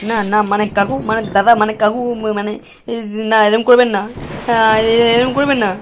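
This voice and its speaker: Woman voicing a fast, whiny, stammering cartoon lad, noisy recording. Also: fairly high pitch, steady tone, lightly acted. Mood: pleading panic. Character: excitable, sheepish, pleading cartoon young man. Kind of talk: comic cartoon dialogue. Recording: noisy with clicks.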